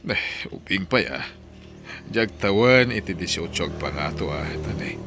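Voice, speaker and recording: A man playing a well-off household employer in an audio drama, deliberate and authoritative. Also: sits low, lively intonation, creaky, a bit bright, soft background music, heavily acted. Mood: businesslike. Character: well-off male household employer, calm and bargaining.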